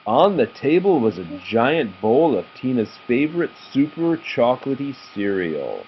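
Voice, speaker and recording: Male storybook reader, very expressive mid-range voice, dull home recording with faint noise. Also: mid-range voice, a little slow. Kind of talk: animated children's read-aloud.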